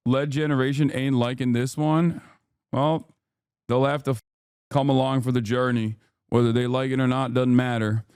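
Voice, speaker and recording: A man with a Texan twang hosting a live stream, riffing loosely and excitably with plenty of swearing and repeated phrases. His voice is smooth and resonant at a middling pitch. It sounds dry and close, with no room around it. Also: moderate pace, dry recording. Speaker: livestream host. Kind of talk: casual livestream commentary.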